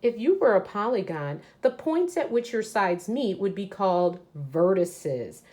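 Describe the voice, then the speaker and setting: Slow-paced woman teacher reading aloud. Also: mid-range voice, very animated, warm tone. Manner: explanatory, addressing children. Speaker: third grade teacher. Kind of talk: read-aloud of a children's math book with teaching asides.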